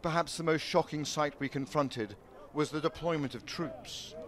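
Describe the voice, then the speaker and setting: Grave news correspondent. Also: male voice, fairly high pitch. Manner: measured, grave delivery. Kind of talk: news report voice-over. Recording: loud crowd and street noise behind.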